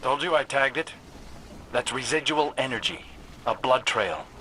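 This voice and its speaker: An actor playing a wry, intense and dryly confident hunter scout in a video game, speaking in a brooding, clipped way. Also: male voice, medium pitch, animated, moderate pace, bright, forceful tone, slight background noise. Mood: confident, urgent. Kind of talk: video game dramatic dialogue.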